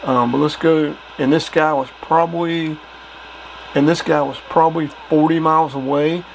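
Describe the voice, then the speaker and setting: A man's voice: fairly high pitch, steady tone, moderate pace, poor audio. A man giving a casual demonstration of gear as a hobbyist. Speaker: radio hobbyist reviewing gear. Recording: clear background noise.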